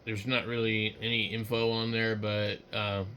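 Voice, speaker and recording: A man giving casual, unscripted commentary while working at a computer, speaking haltingly and trailing off as he thinks aloud. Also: lowish voice, level tone, bright, forceful tone, smooth. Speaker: hobbyist host.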